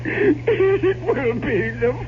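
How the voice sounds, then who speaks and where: High-strung, wildly swooping mad gothic heir. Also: male voice, animated, extremely slow, heavily acted. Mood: unhinged, despairing. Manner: theatrical, stammering, repeating words. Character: tormented, mad heir of a doomed gothic family. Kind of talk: old-time radio drama acting. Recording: muffled, very noisy old radio recording.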